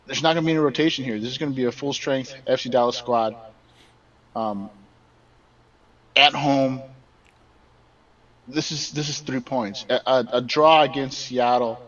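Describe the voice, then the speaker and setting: A man's voice: mid-range voice, moderate pace, long pauses, fairly bright tone. A man co-hosting a casual soccer podcast, talking emphatically and repeating words as he stumbles through his points. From Mexican background. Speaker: podcast co-host. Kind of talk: casual sports chat. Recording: dull distant remote audio.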